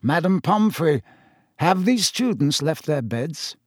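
A man voicing a calm, wise old male headmaster who speaks quietly and with measured composure. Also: on the high side, dry recording, clean studio sound, pitched above the performer's own voice, full character voice.

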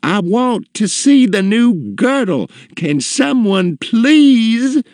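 Man as imperious older lady, storybook character, high swooping voice, stretched-out words, dramatic and commanding. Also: very animated, dry recording, studio quality, heavily acted. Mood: shrill, exasperated. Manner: drawn-out, commanding, shrill. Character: imperious, demanding, haughty large older woman customer.

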